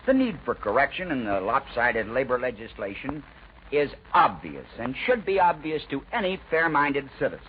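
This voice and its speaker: A man with an American accent delivers a scripted 1940s radio editorial in an oratorical, emphatic way, with deliberate rhetorical pauses. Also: mid-range voice, big pitch swings. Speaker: radio news commentator. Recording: dull, noisy 1940s radio recording.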